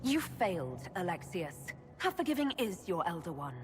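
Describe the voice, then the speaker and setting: An actress playing a determined young elven hero in a fantasy video game, firm and commanding. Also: female voice, lowish voice, very animated, normal speed, over music. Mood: defiant. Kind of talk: dramatic video game acting.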